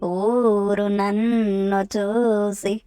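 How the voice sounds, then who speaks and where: Slow, smooth woman singing over guitar. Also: mid-range voice, flat delivery, warm tone, dry recording, studio quality.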